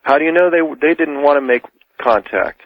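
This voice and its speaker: A man's voice: fairly high pitch, lively intonation, fairly quick, no echo. A man arguing politics insistently as a caller on a radio show, in a heated debate style. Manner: insistent, argumentative. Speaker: radio call-in guest. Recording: dull lo-fi radio call.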